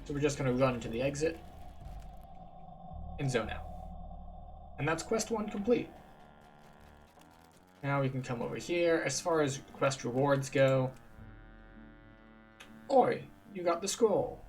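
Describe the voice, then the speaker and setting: A man's voice: mid-range voice, lively intonation, measured pace, pauses often, soft background music. A man giving casual, explanatory walkthrough commentary as a video game guide. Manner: informal, explanatory. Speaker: gaming guide host.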